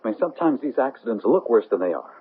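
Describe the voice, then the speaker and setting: An actor playing a skeptical academic scientist in a 1970s radio drama, doubting and challenging in manner. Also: male voice, medium pitch, big pitch swings, very fast, breathy edge, heavily acted. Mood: concerned, doubting. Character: skeptical, smooth-fronted academic scientist in love. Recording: tinny, noisy 1970s radio broadcast.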